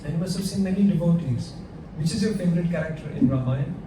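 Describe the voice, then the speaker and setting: A man's voice: on the high side, fast. A man leading a devotional group discussion, asking questions and laughing often, recorded from far off in an echoey room. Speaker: discussion leader. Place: room at a home gathering. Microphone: far from mic. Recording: echoey room, far mic, background noise.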